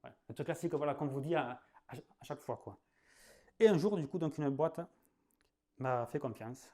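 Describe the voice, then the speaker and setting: A man's voice: medium pitch, moderate pace, a little mellow. A man in his late thirties with a southwestern French accent, teaching sales in a loose, conversational style. He leans on fillers, restarts his sentences and laughs at his own jokes. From southwest France. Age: 30s. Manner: chatty, loose, full of fillers, laughs at himself. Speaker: sales trainer. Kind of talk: casual spoken masterclass.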